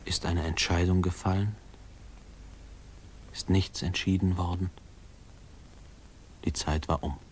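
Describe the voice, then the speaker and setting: A man's voice: sits low, level tone, takes it slowly, pauses often. An actor playing a grown man remembering his boyhood, narrating a 1950s radio drama in a reflective, lyrical way. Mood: melancholy, reflective. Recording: faintly noisy old radio recording.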